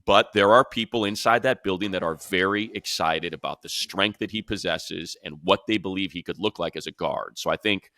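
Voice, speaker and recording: A man with a lowish but bright, forceful voice chatting about football. He is informal and emphatic in the way of a sports show host, recorded dry. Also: normal speed, hardly any pauses, no echo. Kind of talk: casual sports talk, opinionated chat.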